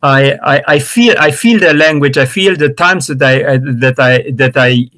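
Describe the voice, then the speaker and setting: Adult male learner, first language Romanian, halting and searching for words on a video call. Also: fairly high pitch, a bit bright. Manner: hesitant, many restarts and fillers. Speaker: student. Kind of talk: practicing English in a lesson.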